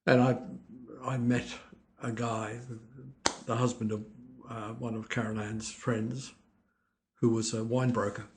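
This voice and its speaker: An older man, a winemaker guest speaking in a hesitant, halting way and often restarting his sentences. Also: lowish voice, flat delivery, darkish tone, a little hiss or hum, poor audio. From Italy, raised in Australia. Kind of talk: conversational interview.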